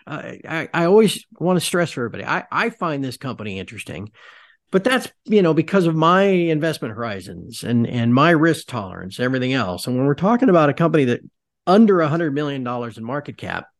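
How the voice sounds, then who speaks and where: A man's voice: fairly high pitch, big pitch swings, quick pace. A man hosting a podcast, asking long, rambling conversational questions. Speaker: podcast host. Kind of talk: podcast interview.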